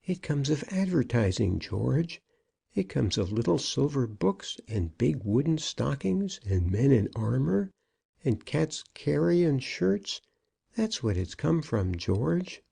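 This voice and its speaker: A man's voice: medium pitch, sing-song, slow pace, vocal fry, mellow, slightly rough, pitched above the performer's own voice, heavily acted. A man voicing an old, evasive shopkeeper in a piteous, pleading manner for an audiobook. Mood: plaintive blame. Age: older. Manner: piteous, wheedling. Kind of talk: audiobook character acting.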